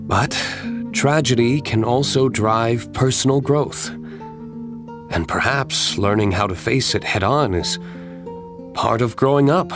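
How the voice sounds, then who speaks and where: A man voicing a composed, serious young nobleman winemaker in a video game, with a measured, formal, restrained delivery. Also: fairly low pitch. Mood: sober, sympathetic. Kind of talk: video game character dialogue. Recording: studio voice over game music.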